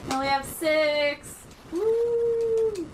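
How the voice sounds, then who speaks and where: A woman's voice: extremely high pitch, lively intonation, extremely slow, a little mellow, a little hiss or hum. A woman chatting casually and teasingly while watching a retro game being played. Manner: playful, teasing, giggly. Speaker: co-host watching a playthrough. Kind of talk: casual gaming chat.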